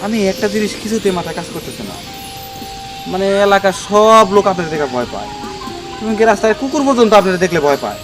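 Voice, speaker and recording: A man acts as a cowardly, meddling servant in a comedy drama. His voice is high and quick, deferential yet chatty, with a Bangladeshi accent. Music runs behind the speech. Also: sing-song. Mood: curious. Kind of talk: Bengali comedy drama acting.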